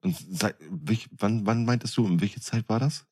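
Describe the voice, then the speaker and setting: A man's voice: lowish voice, moderate pace, darkish tone, breathy edge. A man co-hosting a podcast, chatting casually in crude, slangy language. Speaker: podcast co-host. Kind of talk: casual chat.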